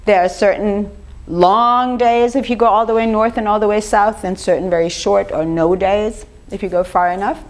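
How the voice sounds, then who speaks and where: An older woman with a slightly rough voice gives an informal lecture, talking conversationally and putting questions to her audience. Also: mid-range voice, steady tone, normal speed. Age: 50s or older. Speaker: speaker giving a lecture on raw food.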